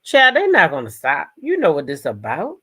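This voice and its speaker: A woman's voice: low-pitched, wildly swooping pitch, normal speed, a bit bright, slightly rough. An American woman doing casual live gossip commentary as host, sassy and emphatic, often repeating phrases for emphasis.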